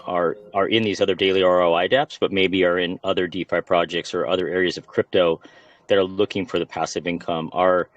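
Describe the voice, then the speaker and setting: A man with a very low, flat voice speaks in a dry, close sound. He answers questions from a project team, working through his points with lots of ums and restarts. The delivery is casual and unpolished. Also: brisk, no echo, dull audio. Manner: many fillers and restarts, talking points.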